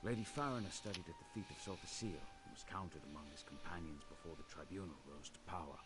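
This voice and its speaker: A devout, deep-voiced temple agent. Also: male voice, quick pace, a bit bright. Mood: earnest. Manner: formal, reverent speech. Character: devout earnest male temple agent, solemn. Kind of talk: video game character dialogue. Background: game music.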